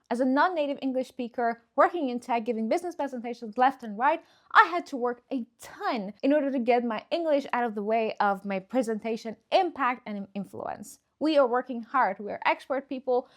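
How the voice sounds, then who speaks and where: Higher-voiced woman coach with a Persian accent. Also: expressive, normal speed. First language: Persian (Farsi). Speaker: presentation skills coach, video host. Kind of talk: instructional talk to camera.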